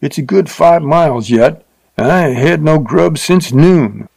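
A man voicing an uncouth, leering frontier rider with a rough drawl and bad grammar. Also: a little high, animated, unhurried, darkish tone, pitched above the performer's own voice, exaggerated voice. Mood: gruff, mocking.

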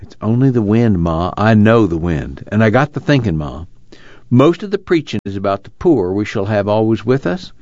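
A man's voice: lowish voice, sing-song, moderate pace, darkish tone, dry recording, dull audio. A man playing an earnest young fugitive farm son in a dramatized novel reading, reflective and plainspoken, with a drawl.

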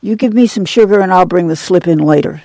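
A tired but dignified old farm mother, put on by a male reader, her voice raised high with a gravelly, creaky edge. She talks in a soft, firm drawl. The reading is close and dry, with no room sound. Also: fast talker, no room sound. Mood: pleading. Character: weary, proud older farm mother, gentle but firm. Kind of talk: audiobook character voice.

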